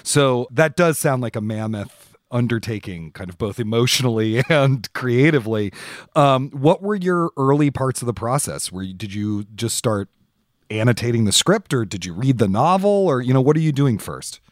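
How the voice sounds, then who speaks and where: A man's voice: medium pitch, expressive, normal speed, no echo, studio quality. A man hosting a podcast, putting long, considered questions to a guest in a conversational interview style.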